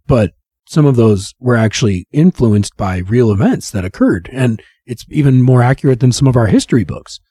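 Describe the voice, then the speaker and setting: A man's voice: medium pitch, lively intonation, dark tone, slightly rough, no echo, studio quality. A man co-hosting a casual podcast, rambling and cursing, losing his voice to a cold. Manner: rambling, profane, restarts and drawn-out phrases. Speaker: podcast co-host.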